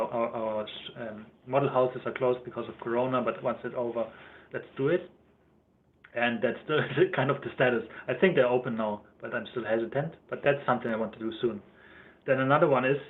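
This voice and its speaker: German-accented man on a thin livestream. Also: medium pitch. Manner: rambling, self-correcting, answers chat questions. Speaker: YouTuber. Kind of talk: casual livestream chat. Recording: thin phone-like livestream audio.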